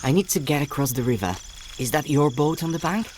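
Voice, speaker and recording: A man's voice: a little high, lively intonation, a little fast, a little hiss or hum, natural voice. A man playing an earnest, weary young boy hero in a video game, with music and night insects behind him. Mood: uneasy. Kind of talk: video game character acting.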